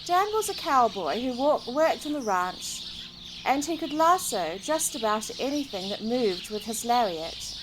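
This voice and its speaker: A woman reads a children's storybook aloud in an expressive way to young listeners, recorded outdoors in a garden. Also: a little high, measured pace. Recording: outdoor recording with clear background noise, birdsong. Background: birdsong.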